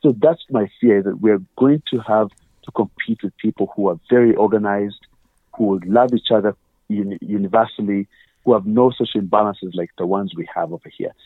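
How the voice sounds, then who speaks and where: A man's voice: lowish voice, a little fast, dry recording, phone-quality sound. A man with a Ugandan accent, a guest earnestly explaining his views with examples and quoted questions. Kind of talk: conversational interview.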